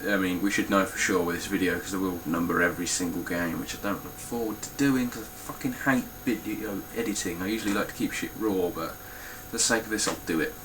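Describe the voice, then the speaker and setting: A man's voice: sits low, fairly quick, hardly any pauses, background noise. A man with a stuffy, nasal voice giving a casual, blunt spoken review of his game collection, with frequent ums and some swearing.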